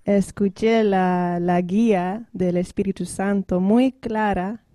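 A woman's voice: mid-range voice, fairly even intonation, slow pace, mellow, no room sound, studio quality. A woman from the Netherlands, a guest speaking careful learner's Spanish in short phrases and laughing often between them. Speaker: guest singer. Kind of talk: halting learner's Spanish with translation pauses.

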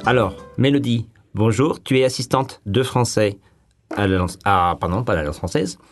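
Low-pitched man hosting radio. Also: moderate pace, faint music behind. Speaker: radio host. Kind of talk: bilingual radio presenting and interviewing.

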